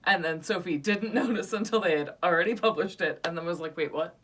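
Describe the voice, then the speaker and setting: A woman's voice: lowish voice, brisk, fairly bright tone, distant mic. A young woman co-hosting a casual podcast review, bubbly and teasing, jumping in with corrections. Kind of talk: casual chat.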